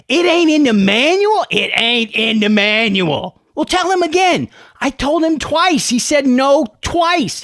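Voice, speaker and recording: A dim, exasperated henchman acted out by the narrator squabbles in slangy comic-sketch fashion. The voice jumps high and swings about, recorded dry. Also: a bit bright, no echo, heavily acted. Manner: slangy, repetitive, bickering.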